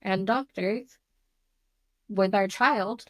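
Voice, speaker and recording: Earnest mother with a naturally loud voice. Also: mid-range voice, no echo, clean studio sound. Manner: earnest, open, halting. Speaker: mother of a teen on a family counseling show. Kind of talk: candid conversation.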